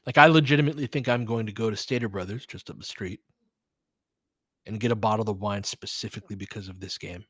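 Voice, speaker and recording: A man's voice: on the low side, fairly even intonation, fast talker, a bit bright, husky, dry recording, clean studio sound. A man giving informal live-stream play-by-play and commentary, thinking aloud as he chats with listeners.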